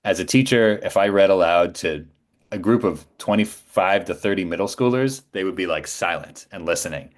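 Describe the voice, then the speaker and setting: A man's voice: low voice, normal speed. A man in his forties speaking as an interview guest, telling anecdotes casually and with self-assurance. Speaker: podcast guest, voice actor.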